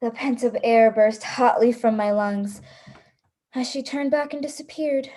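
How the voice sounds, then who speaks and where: Radio play heroine played by a woman: hunted, resolute, dramatic first-person storytelling in a soft-toned, fairly high voice. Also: a little slow, lightly acted. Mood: hunted suspense. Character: frightened, resolute young woman, hunted shipwreck survivor.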